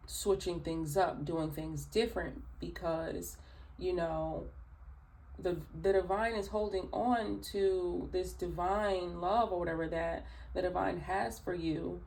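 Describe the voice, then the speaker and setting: A woman with a raspy early-morning voice, chatting through a tarot reading with many fillers and asides. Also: fairly low pitch, darkish tone, rough recording. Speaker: tarot reader. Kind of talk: casual spoken tarot reading.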